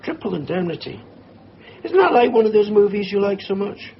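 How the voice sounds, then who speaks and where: A man's voice: sits high, very animated, brisk, gravelly, full character voice. An actor in a radio drama playing a hesitant, guarded insurance salesman who keeps his feelings private. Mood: patient, teasing. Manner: hesitant, evasive. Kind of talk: 1990s radio drama acting. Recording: muffled, noisy radio drama recording.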